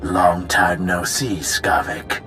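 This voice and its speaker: An actor playing a burly hired thug in a video game, mocking and menacing. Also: male voice, deep voice, slow, sharp tone, faint music behind, exaggerated voice. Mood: mocking, greedy. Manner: taunting, menacing. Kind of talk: video game villain acting.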